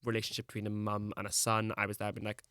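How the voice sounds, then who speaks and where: A man's voice: fairly low pitch, completely flat delivery, quick pace, sharp tone, clear, resonant tone, dry recording, clean studio sound. A young man, chatting as a podcast guest, rambling and full of "like". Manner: rambling, peppered with "like". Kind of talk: casual chat.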